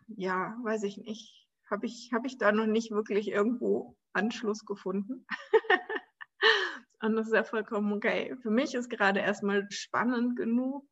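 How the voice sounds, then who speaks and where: A woman hosting a spiritual podcast on her own, talking in a relaxed, searching way with many soft pauses and light laughs. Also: on the high side, lo-fi sound. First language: German. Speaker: podcast host. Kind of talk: casual solo podcast monologue.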